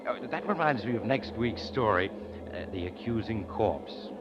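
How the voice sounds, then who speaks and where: Man as low, sly storyteller on a train. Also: expressive, normal speed, natural voice. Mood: sly, macabre. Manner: insinuating, wry, theatrical. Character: eerie, sly mysterious traveler telling macabre tales. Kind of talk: 1940s radio horror host narration. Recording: dull, very noisy radio, train rumble behind.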